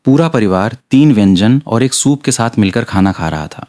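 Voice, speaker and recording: A man's voice: on the low side, expressive, normal speed, a little mellow. A man reading a scripted story aloud as an audiobook narrator.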